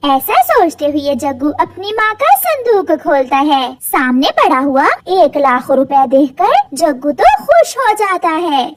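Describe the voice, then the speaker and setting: Female narrator for children's tales, very high voice, highly animated delivery. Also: a little slow, few pauses. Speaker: story narrator.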